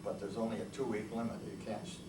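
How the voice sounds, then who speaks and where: A man's voice: lowish voice, little pitch movement, quick pace. An elderly man who spoke Italian at home as a child, recounting his past in an oral history interview, rambling and hesitant with many restarts. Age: older. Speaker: veteran interviewee. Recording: echoey room, mic far off, noisy.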